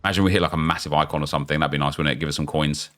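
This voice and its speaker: A man, chatty and excitable, thinking aloud as he opens packs in a football game, losing his voice and getting unwell. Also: extremely low pitch, racing. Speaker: gaming YouTuber. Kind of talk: casual pack-opening commentary.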